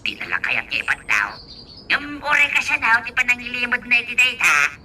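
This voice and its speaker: A woman acting an excitable, doting old father in a radio drama, exclaiming and drawling with chuckles. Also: on the high side, moderate pace, heavily acted. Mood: teasing, affectionate. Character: excitable, chuckling old father who dotes on his child.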